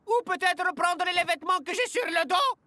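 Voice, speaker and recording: A man's voice: very high voice, normal speed, sharp tone, dry recording, full character voice. A man voicing an exasperated, sarcastic young character in a French cartoon dub.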